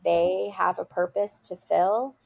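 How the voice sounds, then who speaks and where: A woman's voice: lowish voice, fairly even intonation, takes it slowly, gravelly. A woman, a school founder, answering interview questions in an earnest, emphatic way, often restarting her words. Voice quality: loud voice. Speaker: school founder, podcast guest.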